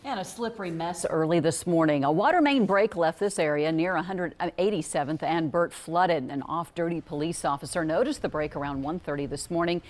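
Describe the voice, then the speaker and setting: A woman's voice: low voice, a little fast, hardly any pauses, a little mellow. An American woman anchoring a local TV newscast, reading scripted stories. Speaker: news anchor.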